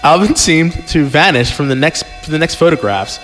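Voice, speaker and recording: A man hosting a podcast, reading a story aloud haltingly with casual asides and chuckles. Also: fairly high pitch, animated, a bit bright. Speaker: podcast host and reader. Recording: music playing behind the voice. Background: prominent background music.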